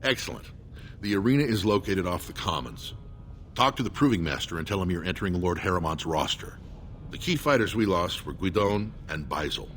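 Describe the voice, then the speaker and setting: A man playing a loyal, earnest dwarven soldier with a formal, measured delivery in a video game. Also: low-pitched, lively intonation, a bit bright, a little hiss or hum. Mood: businesslike. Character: loyal dwarven soldier, solemn supporter of his lord. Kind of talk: scripted video game dialogue.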